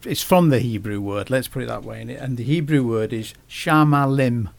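Male podcast guest, gravelly voice, hesitant delivery with frequent restarts. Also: mid-range voice. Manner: halting, many restarts and fillers. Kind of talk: casual podcast conversation.

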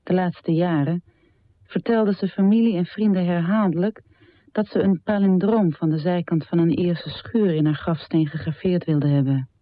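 An actress playing a composed adult daughter, narrating in a radio drama in a measured, matter-of-fact way. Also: female voice, on the low side, no echo, natural voice. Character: composed adult daughter remembering her dead mother. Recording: dry, phone-like narrow band.

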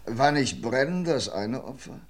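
An actor playing a methodical police inspector with dry wit, in 1950s radio crime drama style, measured and reading out case files. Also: male voice, mid-range voice, expressive. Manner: measured, reads out files, wry asides.

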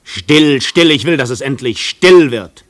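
An actor in a radio play playing a tormented, weary man who longs for quiet, with a brooding, halting, intense delivery. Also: mid-range voice, lively intonation. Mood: anguished. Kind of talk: German radio play acting.

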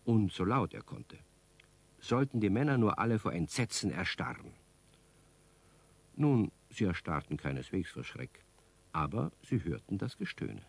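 Narrator, deep and husky storyteller. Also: male voice, sing-song, unhurried, long pauses, heavily acted. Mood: wry storytelling. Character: wry, warm-hearted storyteller of a children's play.

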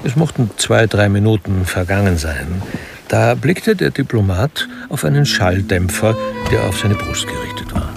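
A man narrating a crime radio drama in a measured, dry, literary manner, detached and wry. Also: fairly low pitch, expressive, vocal fry. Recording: music under studio narration. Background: music.

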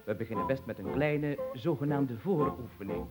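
A man's voice: medium pitch, moderate pace, over loud music, lightly acted. A man playing a pompous, long-winded and bossy industrialist in an absurdist comic radio play. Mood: coaxing, insistent. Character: eccentric, pompous male industrialist, fussy and bossy.

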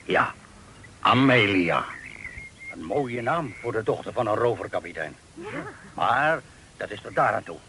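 A man's voice: lowish voice, expressive, a little slow, pauses often, bright, forceful tone, heavily acted. A man acting a talkative, folksy Dutch sea captain of forty-five in an old radio play. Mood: wry, folksy. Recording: old radio recording with hiss.

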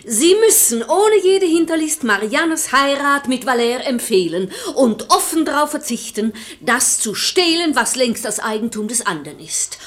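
Lively, highish-voiced shrewd lady. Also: normal speed, few pauses. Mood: cool, controlled. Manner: composed, articulate, speaking in verse. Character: poised, shrewd married lady, gracious and cool-headed. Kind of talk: German radio play of classical verse comedy.

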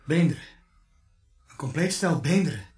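A man's voice: a little high, animated, moderate pace, a little mellow, faint music behind, natural voice. An actor playing a puzzled, deferential junior policeman in a radio play, speaking in a hesitant, questioning way. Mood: subdued. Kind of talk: Dutch radio play acting.

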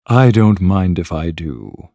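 A man voicing an aloof, insolent talking tomcat with a calm, cold manner in a storybook reading. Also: sits low, slow, vocal fry, dry recording, heavily acted. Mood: indifferent. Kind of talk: storybook character voice.

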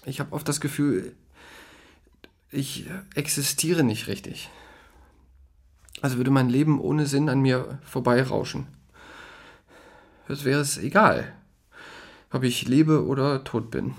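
An actor playing a neurotic, status-proud young man who is easily rattled, speaking haltingly and repeating himself in a radio play. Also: medium pitch. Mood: bleak, reflective.

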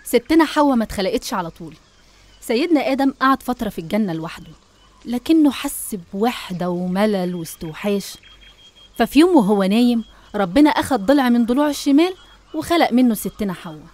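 A woman with an Egyptian accent narrating a scripted animated story. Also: fairly high pitch, quick pace.

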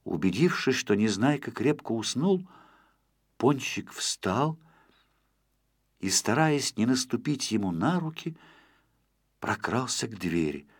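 A man narrating a children's story from a script as an expressive, dramatic storyteller. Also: medium pitch, slow, pauses often, slightly rough, no room sound, studio quality.

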